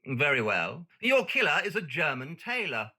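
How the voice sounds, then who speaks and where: An arrogant Victorian detective in an audio drama. His voice is very bright and cutting, swinging widely in pitch as he lectures lesser minds with pompous impatience. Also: big pitch swings, normal speed, full character voice. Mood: lecturing.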